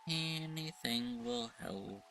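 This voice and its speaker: A woman's voice: rumbling low, animated, slow, a bit bright. A woman streamer giving casual live commentary while playing a game. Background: game music.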